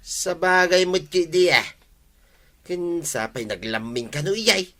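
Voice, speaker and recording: A man's voice: sits high, animated, unhurried, pushed and bright, exaggerated voice. An actor with an Ilocano accent playing an older village man with rheumatism in a radio comedy, talking in a plain, mild way. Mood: worried. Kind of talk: 1950s-style radio comedy acting.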